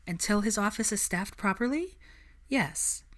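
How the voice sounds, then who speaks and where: A woman's voice: mid-range voice, animated, a little slow, a bit bright, pitched above the performer's own voice. A woman voicing a determined, frank young witch in an audiobook-style story, articulate and earnest, with a teasing edge.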